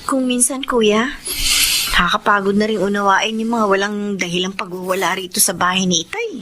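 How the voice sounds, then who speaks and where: An actress playing a weary, troubled daughter and younger sister in a Filipino radio drama, plaintive and questioning. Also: female voice, mid-range voice, fairly even intonation, fairly quick, music in the background, close to an everyday voice.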